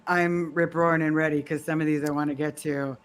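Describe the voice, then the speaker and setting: A woman's voice: deep voice, flat delivery, a little fast, slight background noise. A woman co-hosting a livestream, casually chatting and reading viewer comments aloud. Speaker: livestream co-host.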